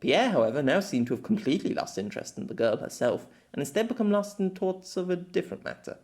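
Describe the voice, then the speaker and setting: A man reading a web novel aloud as narrator, in an expressive amateur audiobook style. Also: high-pitched, quick pace.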